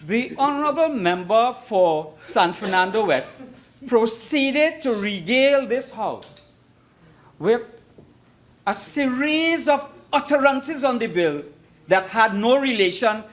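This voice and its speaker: A man's voice: very high-pitched, lively intonation, takes it slowly. A Trinidadian man giving a formal parliamentary debate speech as an opposition member, emphatic and oratorical, repeating phrases for effect. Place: parliament chamber.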